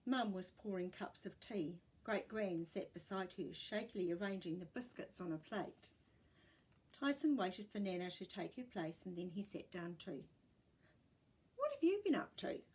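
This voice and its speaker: A woman reading a story aloud as an expressive, scripted narrator. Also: on the low side, normal speed, husky, low-quality recording.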